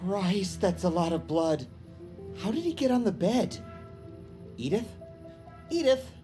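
An actress playing a brisk, alarmed doctor taking command in an audio drama. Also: female voice, medium pitch, big pitch swings, mellow, soft background music.

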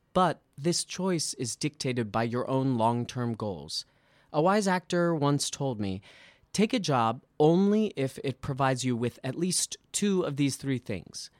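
A man who works as a stage actor reads his own scripted personal essay with polished, deliberate delivery. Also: medium pitch, no room sound. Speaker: musical theatre actor.

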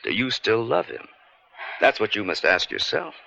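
An actor in a radio drama playing a gentle, fatherly older confidant who counsels a troubled woman. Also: lowish voice, very animated, normal speed, gravelly, heavily acted. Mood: gentle, concerned. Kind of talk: 1970s radio drama acting. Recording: dull, noisy radio recording.

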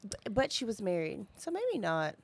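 A woman's voice: mid-range voice, huge pitch swings, moderate pace. A woman co-hosting a true crime podcast, chatting informally and chiming in with reactions and questions. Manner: informal, interjecting. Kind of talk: casual chat, reacting and questioning.

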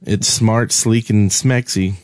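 Lower-voiced man in casual chat. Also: fairly even intonation, slow pace, dry recording. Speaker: podcast co-host.

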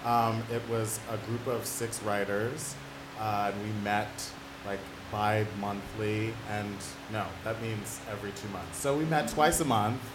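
A man's voice: fairly low pitch, steady tone, unhurried. Man interviewed in an echoey, noisy room. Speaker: playwright being interviewed. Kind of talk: casual, conversational interview answers.

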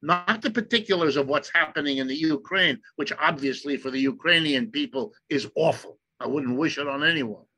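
Forceful lecturing man, German native tongue. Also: on the high side. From United States. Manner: emphatic, lecturing, slows for stress. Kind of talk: explanatory talk.

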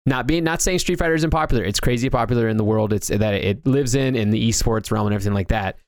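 A man with an average-pitched voice talks in a loose, conversational way as a discussion show co-host. He often repeats a word while finding his point. The sound is dry, with very little room in it. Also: fast talker, no echo. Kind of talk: casual pop-culture discussion.

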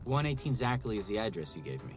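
An actor in a naturalistic audio drama playing a polite, matter-of-fact ride-share driver. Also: male voice, on the low side, sing-song, fast talker. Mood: polite, puzzled.

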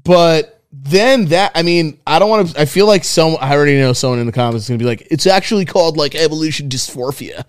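A fairly high-voiced man jokes through a casual podcast chat, loose and full of swearing. The sound is dry and close, with no room around him. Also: fast talker, hardly any pauses, clear, resonant tone, no echo. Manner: loose, profane, jokey banter. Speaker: podcast co-host.

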